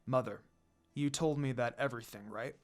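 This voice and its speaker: A man's voice: medium pitch, moderate pace, faint music behind, pitched below the performer's own voice, lightly acted. A man voicing a proud, protective demon lord in a story, a formal and firm but dutiful son. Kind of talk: storybook character reading.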